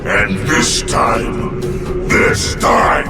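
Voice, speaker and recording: An actor voicing an ancient, wrathful evil mask spirit in a video game, gravelly, menacing and grandiose, bellowing like a tyrant. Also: male voice, deep voice, wildly swooping pitch, extremely slow, heavily acted. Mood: menacing, imperious. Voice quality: gravelly, growling. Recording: echoey, distant, music behind.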